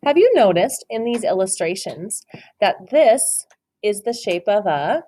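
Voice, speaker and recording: A woman reading a picture book aloud to a child, stopping to ask questions, gentle and encouraging with dramatic storytelling. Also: mid-range voice, sing-song, a little slow.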